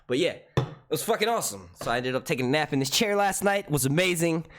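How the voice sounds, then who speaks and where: Young male streamer, naturally loud and projecting, casual and profane, animated delivery. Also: a little high, quick pace. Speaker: YouTube live stream host. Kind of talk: casual live stream chat. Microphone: desk mic.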